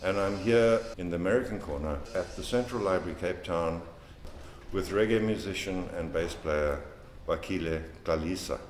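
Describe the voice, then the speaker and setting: A man with a low voice acts as interviewer in an echoey room. He is formal and measured, introducing and asking in plain, orderly phrases. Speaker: interviewer, library staff. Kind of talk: formal oral-history interview. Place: indoors in a library room. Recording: echoey room with background noise.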